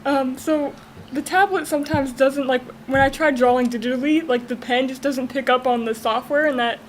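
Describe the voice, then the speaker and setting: A woman's voice: high-pitched, steady tone, moderate pace, a little hiss or hum, poor audio. A teenage girl guest in a casual chat, mostly giving short replies and plain explanations. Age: teens.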